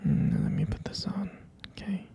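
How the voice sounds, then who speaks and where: A man playing a tender, devoted boyfriend in an intimate ASMR roleplay, speaking in a breathy, husky whisper and lingering dotingly over his words. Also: normal speed, dark tone, close to an everyday voice. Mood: tender, caring.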